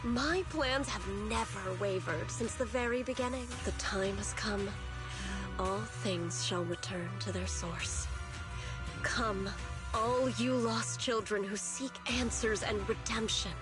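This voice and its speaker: A woman's voice: medium pitch, slow pace, lightly acted. A woman playing a serene, scheming cultist zealot in a video game, speaking in a lofty, taunting, ceremonial manner. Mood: fervent, reverent. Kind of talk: dramatic video game voice acting. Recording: loud game music behind. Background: music.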